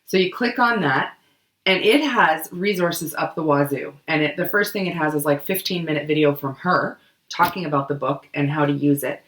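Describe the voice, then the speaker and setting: Casual podcast co-host, a woman with a cold-roughened voice, a bit low, recorded in a roomy space. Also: moderate pace, fairly bright tone. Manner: chatty, loose, many fillers. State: hoarse from a cold, coughing. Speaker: book club podcast co-host. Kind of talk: casual explanatory chat.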